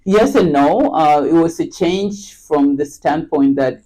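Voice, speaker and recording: A middle-aged woman speaks as a podcast guest in a relaxed, unhurried chat. She often pauses with fillers and restarts her sentences as she thinks. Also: deep voice, slight background noise, rough recording. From Kenya. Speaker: podcast guest, real estate agent. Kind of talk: casual interview chat.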